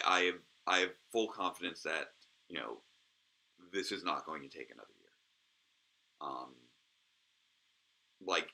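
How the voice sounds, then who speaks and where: Low gravelly man, halting commentary. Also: sharp tone, low-quality recording. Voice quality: naturally low voice. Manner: hesitant, full of ums and restarts. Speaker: host of a review series. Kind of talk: casual solo commentary.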